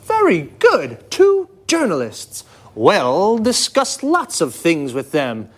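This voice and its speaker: Man, presenter, friendly and encouraging, lively intonation, slow pace. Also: sits high. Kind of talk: scripted language-lesson presenting.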